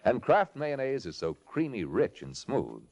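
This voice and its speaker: A man's voice: mid-range voice, very animated, unhurried, a little mellow. A man with an American accent reading scripted sponsor copy and narration as an old-time radio announcer.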